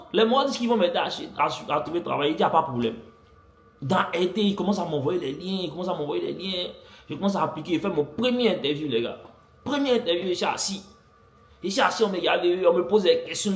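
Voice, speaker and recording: A man's voice: on the high side, fairly bright tone. A young man vlogging, animatedly telling a personal story to his viewers, repeating phrases for effect. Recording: echoey room.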